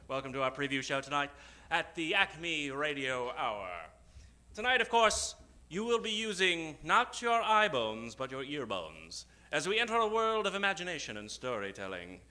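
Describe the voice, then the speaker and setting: A man hosting a live old-time radio show as a grandiose, theatrical announcer with dramatic pauses. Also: a little high, bright, forceful tone. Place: theater stage, before an audience. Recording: live stage show with music behind. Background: music.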